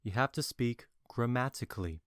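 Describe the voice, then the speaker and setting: A man's voice: medium pitch, animated, normal speed, fairly bright tone, no echo, clean studio sound, natural voice. A man playing a mocking courtier in audio drama dialogue. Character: mocking male courtier critiquing a play.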